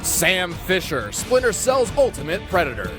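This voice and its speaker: A male host narrates in a fairly high voice with very lively intonation. His delivery is scripted, dramatic and explanatory, with clear music playing behind him. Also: normal speed. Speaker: host of a video game analysis show.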